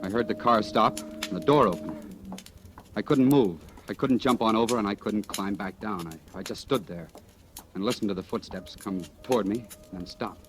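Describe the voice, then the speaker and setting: A man's voice: on the low side, moderate pace, noisy background, natural voice. An actor playing an embittered, despairing young American man, bitter and intense, in a 1940s radio drama. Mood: haunted, brooding. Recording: vintage 1940s radio recording, music underneath.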